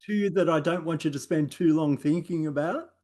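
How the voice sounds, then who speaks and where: An Australian man with a fairly high voice asks an interview guest questions in a loose, easygoing way. He stops often for short fillers between his words. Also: fairly quick, a little mellow. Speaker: interviewer, host.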